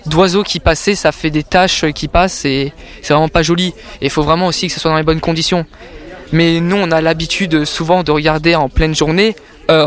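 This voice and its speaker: A man explaining at length in a radio interview, hesitant, with many fillers and restarts. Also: fairly high pitch. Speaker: amateur astronomer interviewee. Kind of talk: casual interview.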